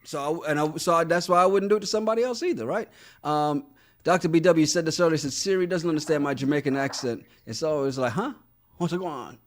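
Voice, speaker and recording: Animated laughing man co-hosting a podcast. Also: fairly high pitch, fast. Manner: laughs often, animated, repeats words. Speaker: podcast co-host. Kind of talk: casual chat reading live chat.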